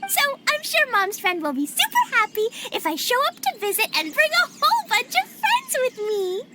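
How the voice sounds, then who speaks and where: A woman's voice: very high-pitched, huge pitch swings, normal speed, loud music behind the voice, full character voice. A woman voicing a cheerful, innocent little girl adventurer with a childlike voice in a video game. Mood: excited. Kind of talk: animated game cutscene acting.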